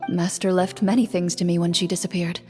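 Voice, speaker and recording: Actress as a formal, deadpan woman game master, reverberant. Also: lowish voice, brisk, lightly acted. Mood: composed, reflective. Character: composed, serious young woman game master narrating solemnly. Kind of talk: scripted game voice acting, narrating a tabletop game. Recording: echoey hall reverb, music behind.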